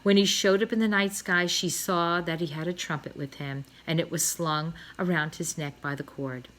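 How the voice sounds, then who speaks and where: A woman's voice: fairly low pitch, steady tone, moderate pace, fairly bright tone. A woman reading a children's novel aloud expressively, dramatizing the action as a storyteller. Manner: dramatic, with emphasized sound words and brief asides. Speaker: storybook reader.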